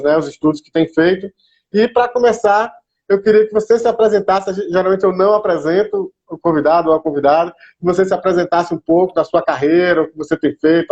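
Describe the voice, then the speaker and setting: A Brazilian man with a fairly high voice hosts an online chat show. He talks informally and welcomingly, with a Brazilian Portuguese accent. The sound is dull and lo-fi. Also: a little mellow. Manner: informal and welcoming, greets viewers by name. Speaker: live-stream host. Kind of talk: casual, chatty live-stream hosting. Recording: dull lo-fi online stream audio.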